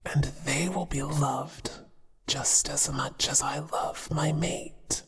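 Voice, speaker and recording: A performer voicing a possessive, obsessive male spider monster, tender toward his mate and quietly menacing, in a breathy whisper for a horror ASMR roleplay. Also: mid-range voice, fairly even intonation, heavily acted. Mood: smug, affectionate menace. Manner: slow, deliberate, menacingly affectionate. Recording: hushed whisper with long reverb.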